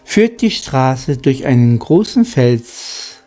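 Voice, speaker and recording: A man reading a children's storybook aloud in an amateur way, halting and stumbling over words. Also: medium pitch, big pitch swings, mellow, faint music behind. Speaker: audiobook reader.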